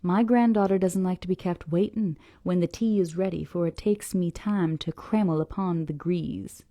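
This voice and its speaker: A woman's voice: lowish voice, moderate pace, warm tone, dry recording, lightly acted. A woman voicing a brusque, skeptical old sailor of nearly a hundred in a storybook, with an American Southern accent and folksy dialect. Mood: grumbling. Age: nearly 100. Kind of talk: acted storybook dialogue.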